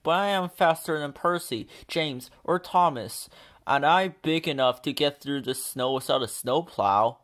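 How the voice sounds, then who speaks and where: A man's voice: a little high, measured pace, a bit bright. A man voicing a big, self-assured steam engine in a storybook, boastful and dismissive.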